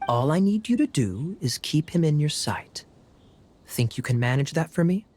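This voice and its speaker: An actor playing a sly, easygoing young knight captain who speaks smoothly and teasingly, in video game voice acting. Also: male voice, on the high side, very animated, normal speed, darkish tone, a little hiss or hum. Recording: game audio with music behind.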